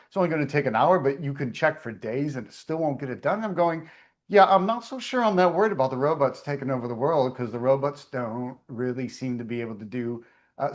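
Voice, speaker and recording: A man hosting an online meeting, presenting in a chatty, storytelling way. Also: medium pitch.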